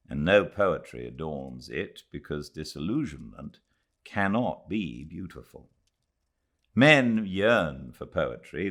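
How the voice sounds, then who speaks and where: A man from Britain who narrates audiobooks, chatting casually and then reading a classic novel aloud by his fireplace at home. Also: deep voice. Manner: relaxed, chatty intro then measured literary reading. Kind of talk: live fireside book reading.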